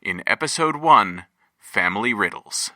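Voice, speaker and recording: Lively low man announcing an episode. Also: measured pace, a little hiss or hum. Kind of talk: episode trailer narration. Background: music.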